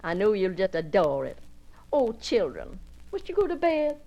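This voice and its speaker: A woman's voice: mid-range voice, huge pitch swings, moderate pace, gravelly, dark tone, highs cut off. A woman acting a breathless, gushing society woman arriving at a country house, in a solo character monologue.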